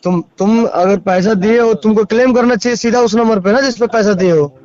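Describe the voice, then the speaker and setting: A man's voice: sits high, fairly quick, darkish tone. A man with a Bhojpuri accent arguing on a recorded phone call, admonishing, interrupting and repeating his phrases. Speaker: caller. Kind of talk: argumentative phone conversation.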